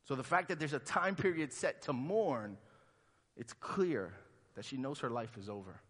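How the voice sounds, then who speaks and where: A man's voice: mid-range voice, normal speed, breathy edge. A man from Honduras, a pastor in his thirties, preaching a sermon in a conversational way and acting out the quotes he tells. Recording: long hall reverb.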